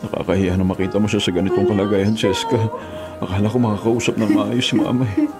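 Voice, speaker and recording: An actor playing a devoted, burdened eldest son, hesitant and earnest, in a Filipino radio drama. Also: male voice, on the low side, quick pace, close to an everyday voice. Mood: ashamed. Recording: loud music bed behind voice.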